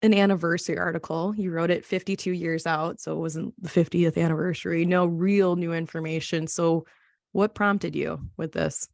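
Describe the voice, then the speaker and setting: A woman's voice: lowish voice, flat delivery, normal speed, creaky, darkish tone, dry recording, clean studio sound. A woman working as a podcast interviewer, asking questions in a casual, conversational way.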